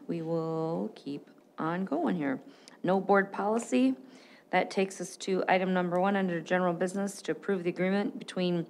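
A woman chairing a school board meeting, brisk and procedural, on a desk microphone in a boardroom. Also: on the low side, slight background noise.

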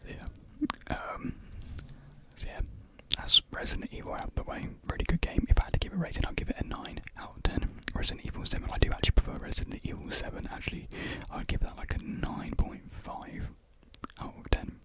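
Whispering young British man, ASMR. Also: fairly quick. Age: 20s. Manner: soft unvoiced whispering. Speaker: ASMR video creator. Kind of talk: ASMR whispered ramble, casual chat. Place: small indoor room. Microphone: close desktop USB microphone.

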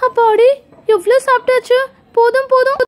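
A woman's voice: fairly even intonation, normal speed. A woman voicing a sneaky, jealous little girl who brags and taunts in a children's toy story. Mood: greedy delight. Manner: squeaky, sing-song bragging and taunting.

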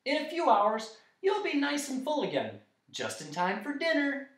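Male reader as chatty logical storybook creature, very high pitch, pleased, teacherly, echoey. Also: big pitch swings, a little slow, far from the mic. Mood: sympathetic. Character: pleased, chatty many-faced dodecahedron creature, logical and sympathetic. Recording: echoey room.

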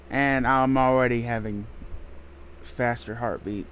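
Male gamer, casual let's play commentary, unhurried pace, faint game noise behind. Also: medium pitch. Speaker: video game player and host. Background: faint game ambience.

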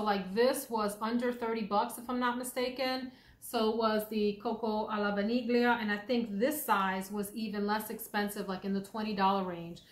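A woman hosting a casual perfume review, talking in a chatty, thoughtful way. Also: fairly high pitch. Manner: chatty, thoughtful, drawn-out pronunciations. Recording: echoey room.

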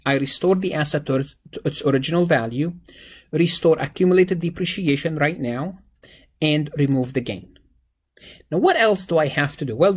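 Male lecturer, raspy and a little high in pitch. Explanatory walkthrough delivery, repeats key phrases, self-answered questions. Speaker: accounting lecturer. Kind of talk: step-by-step exam problem walkthrough, explanatory teaching. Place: indoors, voice-over on a screen-recorded slide lesson.

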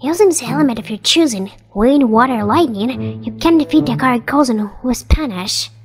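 A woman's voice: high voice, expressive, normal speed, faint music behind. A woman voicing a tiny, proud, old-fashioned dragon spirit in a comic dub, speaking formally and wordily. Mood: courtly. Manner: formal, pompous, wordy.

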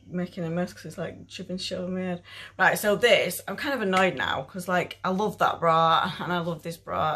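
A woman's voice: on the low side, vocal fry, bright, forceful tone, breathy edge. Chatty, sweary British woman. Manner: informal, sweary, rambling. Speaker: fitness clothing reviewer. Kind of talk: casual chatty try-on review. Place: small bedroom at home.

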